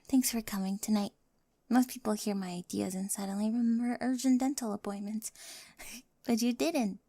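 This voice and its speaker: An awkward, lovestruck high school oddball acted by a woman for an ASMR roleplay. The voice sits fairly high with a crackly fry. She rambles eagerly and slips into whispery asides, very close and dry on the mic. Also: steady tone, normal speed, mellow, no echo. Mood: soft, sincere. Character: quirky, nerdy, awkward high school girl with a crush. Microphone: close microphone, dry.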